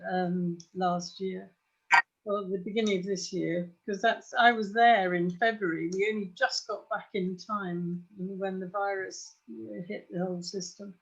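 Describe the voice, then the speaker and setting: An older woman, chatting knowledgeably in a measured, hesitant way on a video call. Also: medium pitch, fairly even intonation, slightly rough. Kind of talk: casual, knowledgeable chat on a group wine call. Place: home study, on a video call. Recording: muffled, dull video-call audio.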